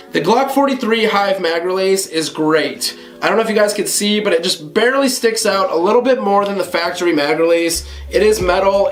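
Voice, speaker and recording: A man hosting a casual gun review vlog, slightly hoarse from a cold, talking to viewers in a relaxed conversational way. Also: sits high, roomy sound. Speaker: gun channel host.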